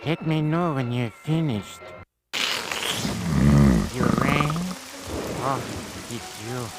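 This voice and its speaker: A man's voice: mid-range voice, takes it slowly, very noisy, close to an everyday voice. A man voicing a gloomy, deferential, ponderous manservant of a haunted house in a cartoon game. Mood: formal. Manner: ponderous, formal. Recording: loud music behind the voice.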